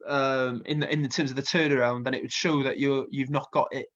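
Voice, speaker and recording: A man's voice: medium pitch, steady tone, fairly quick, highs cut off. A man with a Welsh accent and a slight cold, chatting casually and rambling with plenty of fillers as a festival booker being interviewed. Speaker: festival co-founder and music booker.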